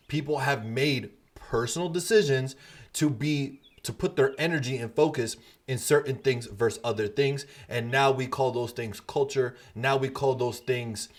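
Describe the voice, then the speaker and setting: A man's voice: medium pitch, measured pace. A man co-hosting a podcast, talking bluntly and emphatically in a casual debate, swearing freely. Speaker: podcast co-host.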